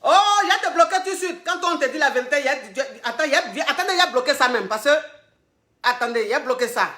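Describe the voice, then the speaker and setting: A woman with a slight head cold, giving coaching advice on a live stream, repeating herself and bursting out loudly on key points. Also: sits high, animated, rapid-fire, echoey room. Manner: emphatic and repetitive, loud bursts on key points. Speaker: relationship coach.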